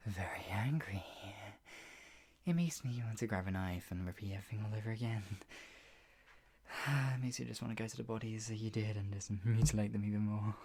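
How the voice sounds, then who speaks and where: A performer playing a possessive, sadistic yandere captor in an improvised ASMR roleplay, mocking and menacing, with a breathy voice that often drops toward a whisper. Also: mid-range voice, dark tone. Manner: menacing, mocking, slow drawn-out taunts broken by laughs and sighs.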